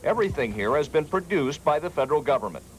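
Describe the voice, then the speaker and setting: A man's voice: a little high, big pitch swings, fast. An American man working as a television reporter, reading scripted feature narration. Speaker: TV news reporter. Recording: faint background noise, 1980s TV broadcast.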